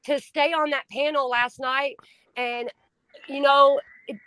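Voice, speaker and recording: A middle-aged woman talking on a home stream, loud and blunt, swearing freely, her voice climbing when she gets upset. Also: sits high, steady tone, slow, poor audio. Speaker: stream co-host. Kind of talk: emotional casual chat.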